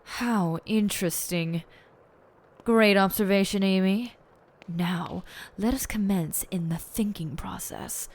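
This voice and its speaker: A woman playing a smug, theatrical, teasingly bossy lead detective in an audio roleplay, with pompous and deliberate phrasing. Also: medium pitch. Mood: deliberate, musing.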